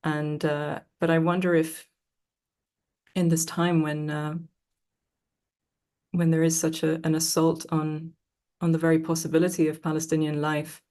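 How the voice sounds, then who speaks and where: A woman moderating a live panel discussion, speaking reflectively and haltingly as she searches for her words. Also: low-pitched, a little mellow.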